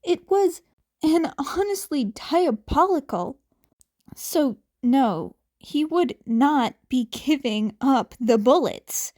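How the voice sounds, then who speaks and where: A woman with a fairly high voice narrates a story. Her pace is very slow, and she reads the scripted fiction expressively, like an amateur audiobook. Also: lively intonation, a little mellow.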